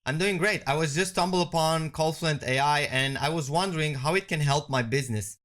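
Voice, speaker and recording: Man presenting a software walkthrough. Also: fairly high pitch, brisk. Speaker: product demonstrator. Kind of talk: conversational software walkthrough narration.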